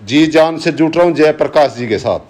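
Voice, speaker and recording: A man's voice: fairly high pitch, a little fast, a little mellow. A man arguing insistently yet respectfully in a recorded phone call, repeating his questions. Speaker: local supporter on a phone call. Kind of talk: argumentative phone conversation.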